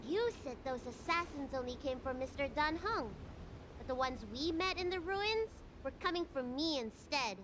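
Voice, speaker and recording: Plucky little girl healer, very high. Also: animated, moderate pace, a bit bright. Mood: earnest. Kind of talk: video game voice acting. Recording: noisy game capture with ambience.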